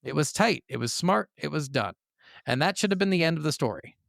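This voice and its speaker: A man with a fairly high voice and noticeable vocal fry hosts a gaming podcast. He shifts between storytelling narration and blunt, casual chat. The sound is very dry, with no room on it. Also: animated, brisk, fairly bright tone, dry recording. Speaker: podcast co-host.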